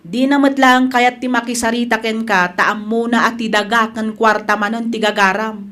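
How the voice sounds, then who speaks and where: A woman plays a dutiful younger sister in a radio drama. Her voice is fairly high, her accent Ilocano, and she admonishes with earnest, protective firmness. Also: steady tone, normal speed, fairly bright tone, close to an everyday voice. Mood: firm, reproving. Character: protective, dutiful younger daughter, gently firm.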